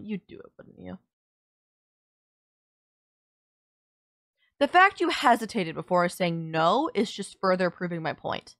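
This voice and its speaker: A woman's voice: mid-range voice, fairly bright tone. A woman playing a sardonic yet caring female villain in an audio roleplay, blunt, teasing and exasperated. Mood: concerned, earnest. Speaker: voice actor. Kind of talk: audio roleplay drama, intimate one-sided dialogue.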